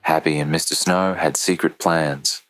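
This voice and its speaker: A man's voice: a bass voice, fairly even intonation, moderate pace, fairly bright tone, soft background music. A man playing a left-out cartoon character giving his account in a mock documentary audio drama. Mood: aggrieved recollection. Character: left-out deep-voiced male cartoon character.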